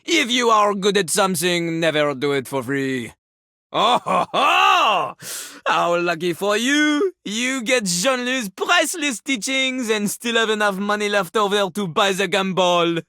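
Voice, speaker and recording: An actor voicing a pompous, sassy talking toilet who raps in a theatrical French accent, boasting haughtily in a video game. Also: male voice, sits high, lively intonation, a little slow, bright, forceful tone, dry recording, studio quality, full character voice. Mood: grudging, boastful. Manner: haughty, third-person boasting, theatrical flourishes. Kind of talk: video game character voice acting, partly rapped.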